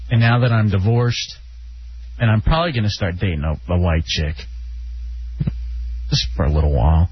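A man's voice: low-pitched, moderate pace, a little hiss or hum. A man from Texas hosting a call-in radio show, joking with his co-host and callers and laughing often. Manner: jokey, animated, laughs often. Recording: slightly muffled radio broadcast.

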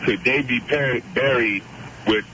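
A deep-voiced man calling in to a radio talk show, earnest and wordy, often cutting in to make his point. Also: unhurried, pushed and bright. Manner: earnest, wordy, interrupts with repeated "wait a minute". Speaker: caller. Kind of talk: radio call-in chat. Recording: dull radio audio with clear hiss.

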